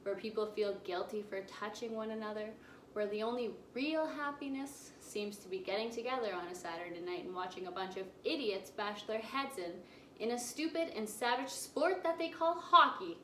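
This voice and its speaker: A woman's voice: medium pitch, animated, normal speed, a bit bright, slight background noise, close to an everyday voice. Woman doing a male drag queen, defiant, impassioned, theatrical, echoey room, distant mic. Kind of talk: theatrical monologue.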